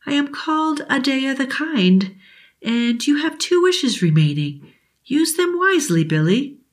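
A kind, plump old genie woman who grants wishes in a slow, solemn voice, as a woman puts her on in a storybook. She sits higher than the narrator, with a gentle, stately calm.

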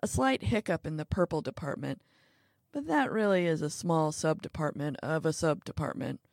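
A woman's voice: low-pitched, expressive, normal speed, vocal fry, darkish tone, dry recording, studio quality. Woman reading a cautious, deferential male henchman. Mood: placating. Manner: cautious, placating, formal.

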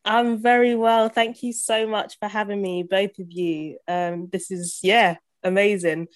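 A woman's voice: mid-range voice, fairly even intonation, unhurried, dry recording. A woman, chatting animatedly as a podcast guest, laughing often. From born in Uganda, raised in Southeast London. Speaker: podcast guest, property investor. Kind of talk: casual interview chat.

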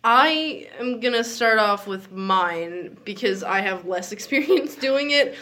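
A woman's voice: mid-range voice, animated, takes it slowly, pushed and bright, slight background noise. A young adult woman hosting a podcast in a casual, informal chat, giggly and swearing freely. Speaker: podcast host.

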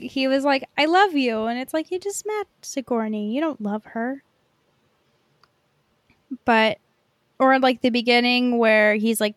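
High-pitched young woman, rambling casually. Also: no echo, studio quality. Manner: rambling, peppered with "like". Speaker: podcast co-host. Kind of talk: casual film review chat.

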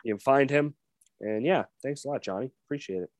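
A man's voice: mid-range voice, fairly quick, dry recording, studio quality. A man co-hosting a sports podcast, running through game details in a casual chat. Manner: rundown of game details, hedging fillers. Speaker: podcast co-host.

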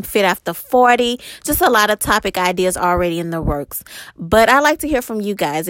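A woman's voice: mid-range voice, fairly bright tone, no room sound. A woman in her late thirties hosting a solo podcast, talking candidly and reflectively, with frequent ums and you knows. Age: 30s. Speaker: podcast host.

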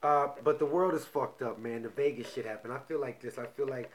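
A man hosting a podcast in loose, profane casual chat, getting loud and animated when excited. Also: mid-range voice, fairly quick, slight background noise. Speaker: podcast host.

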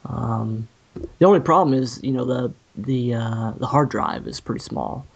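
A man's voice: medium pitch, normal speed, creaky, husky, lo-fi sound. A man in a casual chat, hesitant with many fillers, a little distant from the mic. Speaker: podcast guest.

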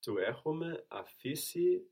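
A man's voice: a little high, sing-song, unhurried, creaky, darkish tone, husky. A man answering as a student in a language lesson, humming a little before each reply.

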